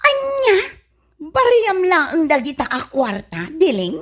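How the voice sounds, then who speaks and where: A woman's voice: extremely high pitch, wildly swooping pitch, takes it slowly, fairly bright tone, heavily acted. A woman playing a nosy, skeptical moneylending shopkeeper auntie with an Ilocano Filipino accent in a comedy radio drama, incredulous and questioning.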